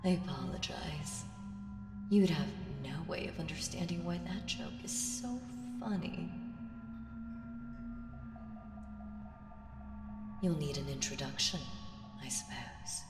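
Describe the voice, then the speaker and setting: A woman voicing an ancient female evil spirit in an ASMR audio roleplay, seductive, teasing and condescending, with breathy half-whispered delivery. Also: sits low. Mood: amused, smug. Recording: echoey reverberant voice over music. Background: music.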